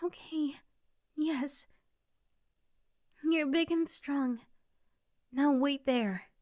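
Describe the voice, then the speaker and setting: A woman's voice: sits high, very slow, darkish tone, dry recording, clean studio sound, pitched above the performer's own voice. A woman playing a bossy but caring young tsundere friend in an ASMR roleplay, insistent, fussing and tender. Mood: gently teasing.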